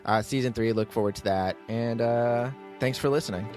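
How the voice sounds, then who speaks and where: A man's voice: medium pitch, normal speed, music in the background. A man hosting a podcast in casual unscripted chat, with a hesitant, rambling, informal way of talking. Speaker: podcast host, audio drama writer and producer.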